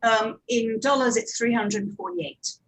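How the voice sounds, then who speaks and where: Woman explaining, fairly high voice. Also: unhurried, low-quality recording. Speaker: audio drama producer and director, panelist. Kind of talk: explanatory panel talk on production practice.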